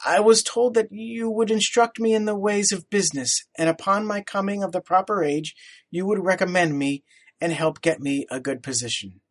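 A man's voice: sits high, normal speed, pitched above the performer's own voice. A man puts on a well-mannered boy with a raised, eager voice. He speaks formally and with some hesitation, careful to stay respectful. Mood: earnest. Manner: polite, formal, slightly hesitant. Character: boy, polite, earnest young nephew.

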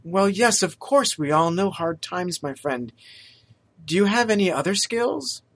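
A man doing a polite, stammering young gentleman. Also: high-pitched, expressive, unhurried, voice pushed up, full character voice. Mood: friendly. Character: earnest, apologetic young gentleman, the son.

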